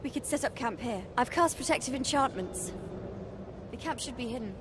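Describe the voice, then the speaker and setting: A woman acting as a bookish teenage witch from a video game, with a fairly high voice and clear noise behind her. She sounds earnest, thoughtful and a little worried. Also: lively intonation, normal speed, close to an everyday voice. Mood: thoughtful, uneasy.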